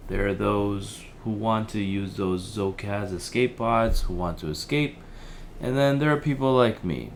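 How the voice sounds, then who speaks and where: A man acting as a gentle, awkward helpline operator in an audio drama, speaking in a hesitant, casual, placating way. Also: lowish voice, a little slow, slight background noise. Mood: easygoing, hesitant. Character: gentle, awkward, fatalistic male helpline worker.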